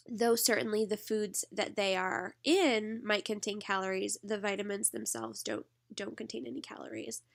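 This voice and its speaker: A woman instructor giving a recorded lecture while stuffed up from allergies, filling pauses with lots of "um". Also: medium pitch. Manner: lots of "um" fillers, relaxed explaining. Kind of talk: recorded lecture over slides.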